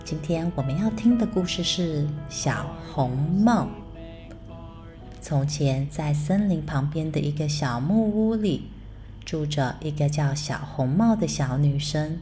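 Slow, mellow-voiced woman storyteller. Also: low voice, expressive, faint music behind. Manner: soft, slow, soothing storytelling. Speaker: storyteller mother. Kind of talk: bedtime story narration.

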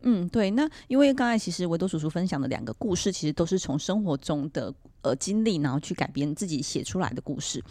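A woman's voice: fairly low pitch, expressive, quick pace, hardly any pauses, mellow, dry recording. A woman with a Taiwanese Mandarin accent hosts a podcast interview in a chatty, wordy conversational style. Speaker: podcast host.

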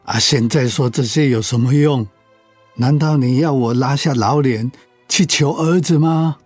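A man playing a weary, proud elderly father in a radio drama, with a husky voice. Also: medium pitch, unhurried, faint music behind, close to an everyday voice. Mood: exasperated. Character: weary elderly father, proud but pleading, soothing husband.

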